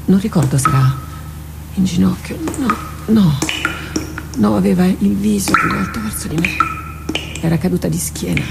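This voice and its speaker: A woman playing a tormented, haunted warrior captain in a radio drama, halting and brooding, repeating herself. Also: fairly low pitch, creaky. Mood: haunted, hushed. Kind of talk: theatrical radio drama acting. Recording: noisy radio drama recording with clicks.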